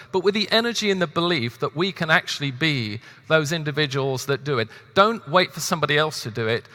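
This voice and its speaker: A man's voice: a little high, sharp tone. A man with a British accent moderating a conference wrap-up session, earnest and hesitant, with frequent fillers. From half British, half Spanish. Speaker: director moderating a closing session. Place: large conference hall. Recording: long reverb of a conference hall.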